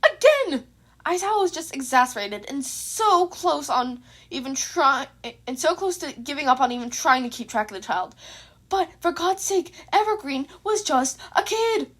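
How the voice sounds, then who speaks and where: A young woman with a high voice reads a fan story aloud. Her delivery is expressive and informal, like an amateur podfic narrator talking straight to listeners. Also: normal speed. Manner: informal, sweary. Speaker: fan fiction writer and narrator.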